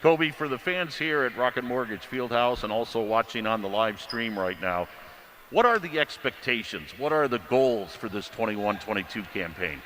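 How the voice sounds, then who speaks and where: A man's voice: mid-range voice, expressive, normal speed, a bit bright, slight background noise. A man co-hosting a live sports broadcast in an arena, with polished announcer phrasing. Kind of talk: live sports interview and commentary. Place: large indoor arena, live event.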